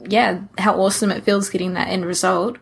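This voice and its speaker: A woman's voice: mid-range voice, fairly even intonation, fairly quick, gravelly, breathy edge. A woman co-hosting a podcast in a casual, conversational style. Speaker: podcast co-host.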